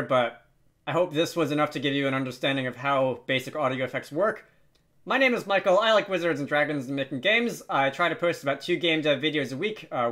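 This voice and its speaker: A man's voice: a little high, fairly bright tone. A man presenting an unscripted game development tutorial in a casual, hesitant, rambling and self-deprecating way.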